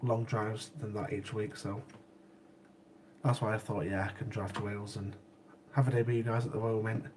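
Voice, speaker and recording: A man's voice: lowish voice, level tone, moderate pace, background noise. Sniffly, chatty man. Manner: chatty, repeats himself, laughs easily. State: sniffly with a cold. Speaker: livestream host. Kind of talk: casual chat with live chat viewers.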